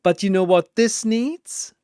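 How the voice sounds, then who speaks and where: Manic little demon trickster for audio drama, high voice, mocking, drawn out words. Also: male voice, a little mellow, clear, resonant tone, no echo, clean studio sound. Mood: gleeful. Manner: mocking, drawn-out theatrical delivery. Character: gleefully taunting manic triangle demon trickster.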